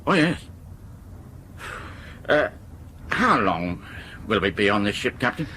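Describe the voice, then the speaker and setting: Bright-voiced, deferential airline crewman. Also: on the low side, big pitch swings, slow pace, a little hiss or hum, exaggerated voice. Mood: brisk, helpful. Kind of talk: radio drama acting.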